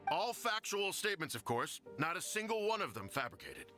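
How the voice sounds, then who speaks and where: Slimy sneaky official, bright voice all over the place, a bit of game music behind. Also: male voice, high-pitched, big pitch swings, a little fast, exaggerated voice. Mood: smug. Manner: oily, defensive. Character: smug scheming male clan official, evasive.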